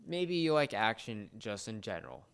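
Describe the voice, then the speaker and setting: A young man co-hosting a casual podcast chat, speaking in a halting, rambling way and often repeating words. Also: fairly low pitch, big pitch swings, vocal fry, smooth. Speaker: podcast co-host.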